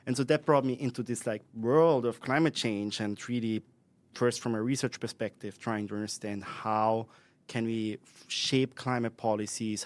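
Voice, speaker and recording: A man with an Austrian accent talks as an invited expert in a relaxed interview. He speaks at a middling pitch and pace, pausing often with fillers and correcting himself as he works through his points. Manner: thoughtful, with many fillers and restarts. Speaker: guest expert. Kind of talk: conversational podcast interview.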